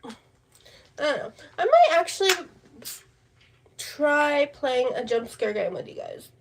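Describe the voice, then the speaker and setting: High-voiced woman livestreamer with creaky fry. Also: sing-song, slow, noisy background. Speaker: livestream host. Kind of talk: casual livestream chat.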